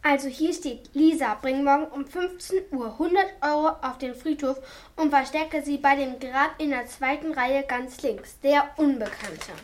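A woman's voice: sits high, normal speed, lightly acted. A young female performer playing an eager, practical girl detective in an amateur radio play. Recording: noisy amateur recording with clicks.

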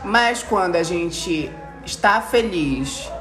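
A woman's voice: lowish voice, lively intonation, slow, music in the background. Young woman host, theatrical, playful, in an echoey room. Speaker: interviewer and show host. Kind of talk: casual joking chat between friends.

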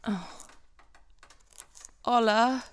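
A woman's voice: a little high, very slow, clean tone, no room sound. A woman playing an anxious, suspicious amateur sleuth with a fretful, incredulous manner in a comedy audio drama. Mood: alarmed, squeamish.

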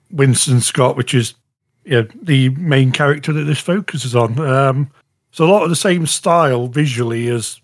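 A man chatting casually as a podcast panellist, halting and rambling, often saying "you know". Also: mid-range voice. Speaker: podcast panellist reviewing shows. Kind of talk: casual chat.

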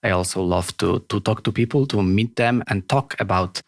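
A man with a Polish accent, hosting a podcast interview in a thoughtful, halting way. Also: lowish voice, no room sound, studio quality. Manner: thoughtful, halting, with repeated words. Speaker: podcast host. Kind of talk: casual interview conversation.

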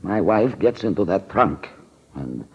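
Scheming con man, low husky voice, halting and theatrical, nervous, old radio drama, muffled recording. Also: big pitch swings, heavily acted. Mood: sinister, deliberate. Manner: hesitant, halting, theatrical delivery. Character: nervous scheming male con man who kills rich wives. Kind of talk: 1940s radio drama acting.

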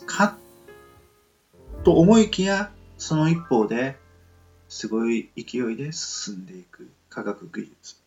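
Male podcast host, reflective and polite, creaky voice with lively intonation, rambling through a casual monologue. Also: mid-range voice, takes it slowly, pauses often. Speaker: solo podcast and radio show host. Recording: muffled lo-fi, soft guitar music behind. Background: plucked guitar music.

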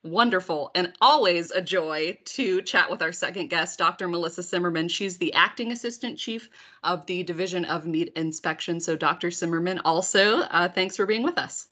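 A woman's voice: lowish voice, normal speed, pushed and bright. A woman hosting a podcast interview in a conversational style. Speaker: podcast host.

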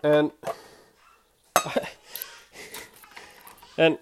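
Swedish accent podcast guy or gal, slow and halting, keeps looking for english words, bit of dish clatter behind. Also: background noise. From Sweden. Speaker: podcast host. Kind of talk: casual podcast monologue.

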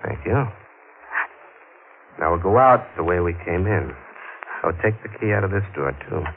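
A menacing killer on the run in a vintage radio thriller. His low voice swings widely as he taunts with mock politeness and deliberate control. It comes through a scratchy, narrow old recording. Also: animated. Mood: coolly commanding.

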